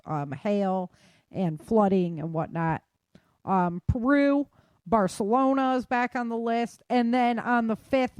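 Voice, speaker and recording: Woman doing a casual podcast news rundown, chatty and colloquial, medium pitch, really dry recording. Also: a little mellow, no room sound. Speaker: podcast host. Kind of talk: casual news roundup of world weather and disasters.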